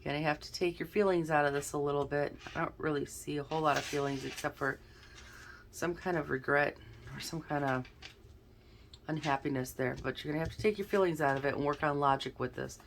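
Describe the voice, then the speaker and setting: A woman's voice: low voice, fairly even intonation, a little fast, a little hiss or hum. A woman hosting a casual tarot card reading, chatty and thinking aloud, sounding a little snuffly and stuffed-up. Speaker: tarot reader, channel host.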